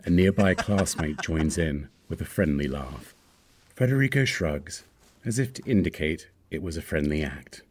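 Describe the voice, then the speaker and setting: A man's voice: rumbling low, animated, normal speed, darkish tone, a little hiss or hum. A man reading screenplay stage directions as scripted narration.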